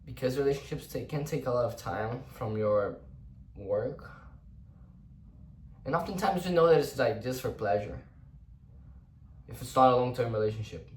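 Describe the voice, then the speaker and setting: A man's voice: mid-range voice, animated, moderate pace, pauses often, distant mic, slight background noise. A young man whose first language is Portuguese, thinking aloud in English in an unscripted personal monologue, rambling and restarting often, with some casual swearing. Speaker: vlogger. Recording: roomy echo, voice set back from the mic.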